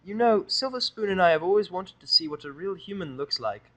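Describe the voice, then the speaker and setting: A man's voice: sits high, animated, fairly quick, pitched above the performer's own voice, low-quality recording. A man voicing a spoiled, entitled young rich girl pony with a coaxing, haughty manner.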